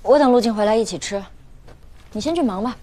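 Actress as a poised executive woman, fast and businesslike. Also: fairly high pitch, dark tone, natural voice. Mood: cool. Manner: cool, businesslike.

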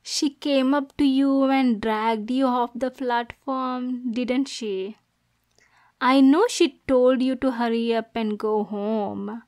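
A woman's voice: on the high side, steady tone, slow pace. A woman voicing a possessive, jealous yandere girlfriend in an ASMR roleplay, menacing and cooing by turns. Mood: possessive, softly menacing. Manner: swings between soft cooing and angry, degrading outbursts. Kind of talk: ASMR roleplay, dramatic monologue.

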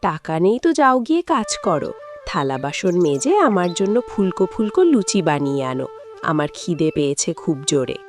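A woman's voice: lowish voice, very animated, fairly quick, soft background music. Woman acting a bossy cartoon wife, wildly swooping voice. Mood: scolding. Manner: scolding, demanding, whiny. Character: vain, bossy, lazy young village wife. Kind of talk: exaggerated cartoon dialogue.

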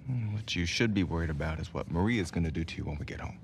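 An actor playing a steady, loyal young survivor in a video game drama, low-key and dry. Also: male voice, quick pace, creaky, a little hiss or hum. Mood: dry teasing. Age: young adult.

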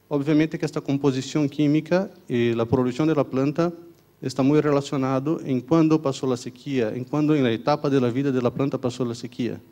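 A man's voice: medium pitch, dark tone. A young man with a Brazilian Portuguese accent, a native Portuguese speaker, giving a conference lecture in a hall into a handheld mic, hesitant with many fillers and restarts, often checking in with the audience. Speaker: university researcher giving a conference talk. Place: stage of a dark conference hall. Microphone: handheld microphone.

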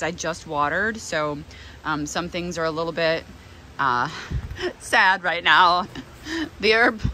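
Woman, thirties, slightly low but bright voice, enthusiastic casual vlogger, handheld sound in a greenhouse. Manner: chatty, enthusiastic. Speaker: plant nursery owner and vlog host. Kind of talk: casual hand-held vlog tour. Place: inside a greenhouse with running fans. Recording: noisy fans, handheld camera audio. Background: steady fan noise.

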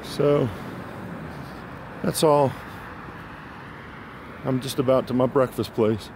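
A man giving casual, rambling, low-key vlog narration on a phone while out walking. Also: fairly even intonation, takes it slowly, gravelly. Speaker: vlogger. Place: outdoors on a sidewalk beside a busy road. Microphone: handheld phone. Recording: outdoor phone recording with traffic noise. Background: passing cars, street ambience.

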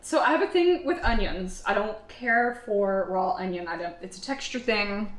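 A woman's voice: mid-range voice, animated, moderate pace. A woman in her thirties hosting a cooking vlog, narrating plainly and then chatting over a tasting at a dining table. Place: dining room in a friend's house.

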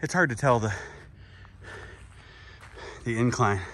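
A man's voice: mid-range voice, slow pace. A man in his forties talking to camera while hiking up a steep mountain road outdoors, with a handheld camera at arm's length. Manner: breathless, steady talk while climbing. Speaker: history vlogger. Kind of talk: hiking vlog narration.

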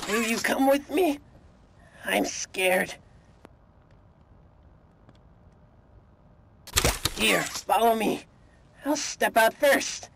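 A man's voice: high voice, takes it slowly, vocal fry, fairly bright tone, slight background noise, exaggerated voice. A man voicing an eager, naive young chicken toy, friendly but timid, in a horror video game. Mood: scared, hesitant.

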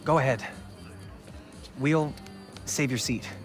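Man playing unhurried friendly student pal. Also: medium pitch, faint music behind, close to an everyday voice. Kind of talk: video game voice acting.

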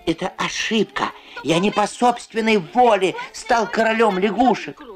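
A man voicing a small, excitable, pleading plush teddy bear in a dubbed puppet cartoon. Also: sits high, measured pace. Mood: explaining. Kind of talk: dubbed puppet animation dialogue. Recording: old film soundtrack with loud music.

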